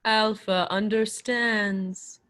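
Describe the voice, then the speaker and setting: Woman as a very slow, stilted robot servant. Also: mid-range voice, steady tone, a bit bright. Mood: flat, obedient. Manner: stilted, mechanical delivery. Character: obedient male robot servant, slow and stiff. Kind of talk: old radio play performance.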